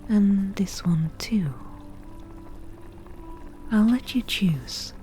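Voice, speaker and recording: A woman playing a wry forest witch who bargains over potions, in a soft breathy whisper with a slow, dryly teasing manner, as ASMR fantasy roleplay. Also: medium pitch, expressive, soft background music.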